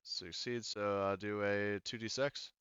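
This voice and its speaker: Very smooth, low-voiced man running a game. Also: fairly even intonation, a little slow, fairly bright tone, no echo, lo-fi sound. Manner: hesitant, thinking aloud while working out rules. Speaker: game master. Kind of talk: casual tabletop game refereeing.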